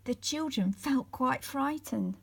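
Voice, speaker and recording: Woman reading kids bedtime story, soft husky voice, takes it slow. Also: high-pitched, lively intonation. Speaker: story reader. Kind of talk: bedtime story read-aloud.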